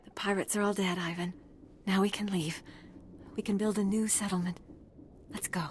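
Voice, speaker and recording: Gentle, weary settler played by a woman. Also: medium pitch, level tone, moderate pace, slight background noise, lightly acted. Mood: relieved, gentle. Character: weary, gentle female settler, hopeful after loss. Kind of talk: video game voice acting.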